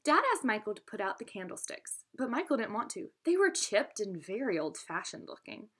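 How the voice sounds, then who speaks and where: A woman's voice: mid-range voice, big pitch swings, fairly quick, a bit bright. A young adult woman reading a storybook aloud expressively, in an instructive manner, as a teacher does for students. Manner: instructive, addresses listeners with questions.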